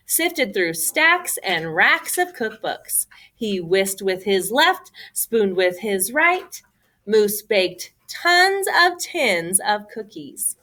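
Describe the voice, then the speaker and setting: A woman reading a children's picture book aloud as a storyteller, with an expressive, emphatic, theatrical delivery. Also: mid-range voice.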